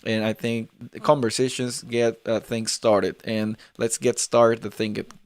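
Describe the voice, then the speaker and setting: A Spanish-speaking man in his twenties hosts a relaxed live chat, his Latin American accent a blend of many places. His voice is smooth and resonant, recorded close and dry. He talks in a friendly, rambling way, repeating words and leaning on "like.". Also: medium pitch, measured pace, no room sound. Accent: mixed Latin American Spanish. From Peru. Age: 20s. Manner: chatty, repeats words, fills with "like". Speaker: host. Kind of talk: live conversational interview.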